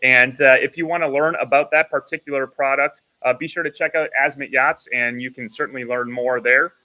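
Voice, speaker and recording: A man's voice: medium pitch, steady tone, fairly quick, bright, forceful tone, poor audio. A man co-hosting a casual boating broadcast, upbeat and salesy, with lots of "uh" fillers. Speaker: co-host. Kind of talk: casual promotional chat.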